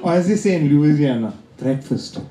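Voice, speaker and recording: A man's voice: on the high side, expressive, moderate pace, dark tone. A man from India with an Indian accent performing a stand-up comedy set, teasing the crowd with a dry delivery. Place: comedy club stage. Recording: roomy live club sound.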